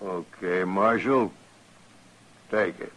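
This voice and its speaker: A slow, menacing drunken homesteader. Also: male voice, low-pitched, a bit bright. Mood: sullen. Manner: menacing, rambling, boastful. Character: drunken, belligerent homesteader spoiling for a gunfight. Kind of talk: old-time radio western acting. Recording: noisy vintage radio recording.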